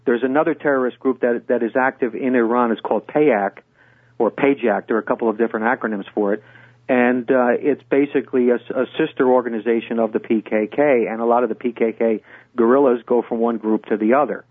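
An older man, a guest on a radio interview, speaking in a measured way with many hesitations and restarts. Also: mid-range voice, steady tone, dry recording. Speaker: guest, former intelligence officer. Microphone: phone call. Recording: tinny phone line.

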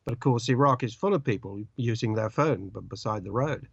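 A British journalist in an interview, an older man who speaks haltingly and searches for words, with many uh's. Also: mid-range voice, vocal fry, husky, no echo. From Britain. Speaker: journalist guest. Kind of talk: interview over the phone. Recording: dull lo-fi line, dry.

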